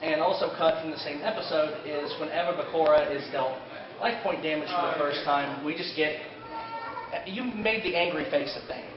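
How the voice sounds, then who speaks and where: A man giving an informal fan panel lecture at a convention, a bit halting and given to joking asides. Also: on the high side. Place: indoor convention panel room. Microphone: distant camera mic. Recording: echoey convention room, distant muffled camera mic, crowd. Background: audience crowd noise.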